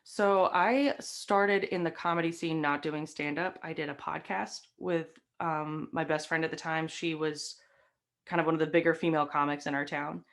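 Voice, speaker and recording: A woman's voice: low-pitched, fairly even intonation, moderate pace. A young woman with a light Southern American accent, chatting as an interview guest and telling stories with many fillers, recorded on a webcam in a decorated home room. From Southern United States. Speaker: guest, stream host and comic. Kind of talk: casual interview chat.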